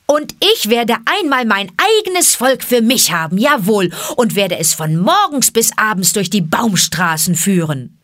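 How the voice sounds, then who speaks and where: A woman's voice: mid-range voice, normal speed, no echo, studio quality, pitched above the performer's own voice, close to an everyday voice. A woman voicing Mowgli, an eager jungle boy. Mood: excited. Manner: excited, insistent, pleading. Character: young human boy raised in the jungle, eager and defiant.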